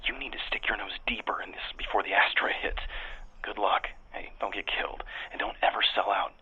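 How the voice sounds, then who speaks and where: Husky, half-whispering disgruntled tech insider. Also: male voice, fairly even intonation, pushed and bright, heavily acted. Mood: urgent, grave. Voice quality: husky, breathy. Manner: low, hurried, conspiratorial. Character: jaded, angry male tech employee phoning in anonymous tips. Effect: telephone filter. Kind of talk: radio drama acting. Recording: thin phone line.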